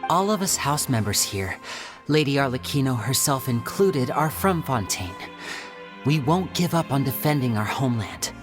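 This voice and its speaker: An earnest young man played by an actor in a video game, speaking gently and with measured care. Music plays clearly behind his mid-pitched voice. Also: big pitch swings, close to an everyday voice. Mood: earnest, resolute. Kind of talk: video game character voice acting.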